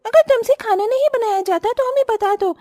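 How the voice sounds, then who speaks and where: A very shrill-pitched young-sounding cartoon sister-in-law played by a woman, whiny and entitled. She is a glutton who orders food as her due, recorded close and dry. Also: brisk, dark tone, no echo, soft background music. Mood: impatient, indignant. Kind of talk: cartoon moral story dialogue.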